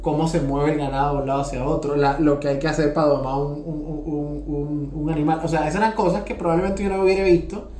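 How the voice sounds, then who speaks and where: A man's voice: on the high side, flat delivery, fast, hardly any pauses, dark tone. A man with a Venezuelan accent talking casually as a podcast co-host, explaining his points and often checking for agreement. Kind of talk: casual conversational discussion. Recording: roomy echo with music behind. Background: music.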